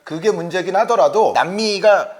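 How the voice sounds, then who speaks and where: A man's voice: high-pitched, moderate pace. A man hosting a football talk show, explaining things in wordy detail to his co-commentator and the viewers.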